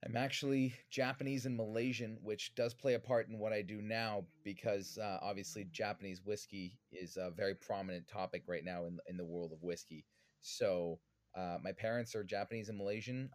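Low-voiced Canadian man in conversation. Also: fairly even intonation, normal speed, dry recording. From Vancouver, Canada. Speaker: podcast guest, whiskey influencer. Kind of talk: conversational interview answers.

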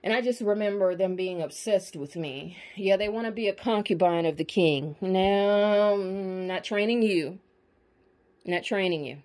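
A woman's voice: medium pitch, unhurried, darkish tone. A woman in a casual monologue to her viewers, emphatic and profane, often checking in with the audience. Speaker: spiritual vlogger.

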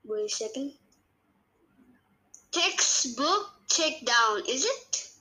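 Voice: high voice, very animated, slow pace, pushed and bright, poor audio. A child co-hosting a casual podcast and playing a guessing game. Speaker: podcast co-host.

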